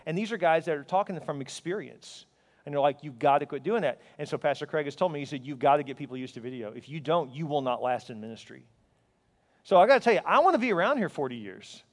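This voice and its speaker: A pastor in his forties preaching a sermon to a large congregation, energetic and conversational, with quips thrown at the crowd. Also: a little high. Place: large church auditorium. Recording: long hall reverb.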